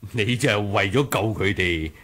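An actor playing a proud, wary martial master in a 1980s radio drama, husky, with theatrical grunts and sighs. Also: male voice, on the low side, bright, forceful tone, exaggerated voice.

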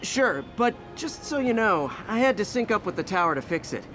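A young masked hero with a fairly high, animated voice that swings freely. He is upbeat and quippy, like a friendly game protagonist, with music behind him. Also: normal speed, full character voice. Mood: playful, confident. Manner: quippy, playful. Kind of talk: video game voice acting.

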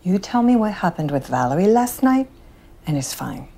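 A woman playing a strict, exasperated mother of teenagers who scolds dramatically, her voice climbing high when she is upset. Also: big pitch swings, moderate pace, gravelly, dark tone. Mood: scheming. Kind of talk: comedy sketch acting.

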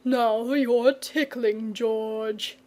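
A languid storybook dragon, performed by a woman, fussy and coy, with airs of refinement. He draws his words out very slowly, in no hurry to be persuaded. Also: fairly high pitch, darkish tone. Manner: drawling, leisurely, testy when pressed. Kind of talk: storybook character reading.

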